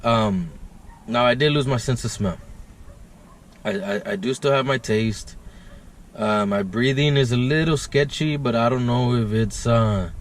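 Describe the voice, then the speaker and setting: A man's voice: medium pitch, a little slow, a little hiss or hum. A man talking casually to camera as a fishing vlogger, laid-back and rambling, sometimes congested. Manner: laid-back, rambling, says "guys" often. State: congested, stuffed sinuses. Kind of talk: casual vlog talk to camera. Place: outdoors by a river.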